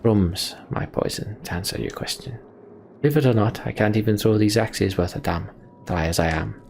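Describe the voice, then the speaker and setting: Guy doing a boozy grumbly axe thrower from a fantasy game, sardonic, gravelly, faint noise behind. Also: fairly low pitch, normal speed, a little mellow. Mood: wry, boastful. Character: hard-drinking, grumbling, roguish male axe-thrower.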